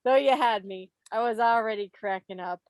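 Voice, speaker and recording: A woman's voice: medium pitch, moderate pace, noisy background. A woman hosting a quiz over a video call in a playful, teasing, theatrical way. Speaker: quiz host. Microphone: video call microphone.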